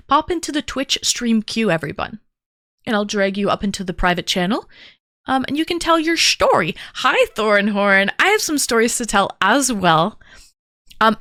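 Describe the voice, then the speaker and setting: A woman's voice: mid-range voice, fairly bright tone, no echo, studio quality. A talkative woman streaming live, chatting casually and greeting viewers one by one in an upbeat way. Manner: talkative, calls herself a yapper.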